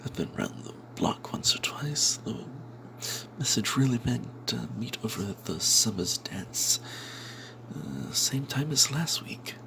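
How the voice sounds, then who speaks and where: A man's voice: medium pitch, animated, rough recording. Man whispering a secretive fantasy tale. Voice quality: breathy, unvoiced whisper. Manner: hushed and secretive, with dramatic pauses. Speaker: narrator telling the tale in character as a cleric. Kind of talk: whispered ASMR storytelling of a tabletop fantasy adventure.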